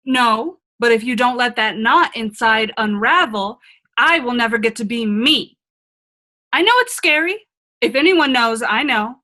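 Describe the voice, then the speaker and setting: A woman plays a wise older fairy-tale self speaking out of a magic mirror. Her voice is fairly high and very bright, and she counsels frankly, with earnest reassurance, in radio play style. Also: animated, a little slow. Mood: reassuring, urgent. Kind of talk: comedic radio play acting.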